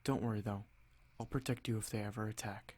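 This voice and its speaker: A man playing a noble vampire lord in an ASMR roleplay, haughty and possessive, speaking in a measured, condescending, softly threatening way. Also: lowish voice, steady tone, slightly rough. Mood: condescending, protective.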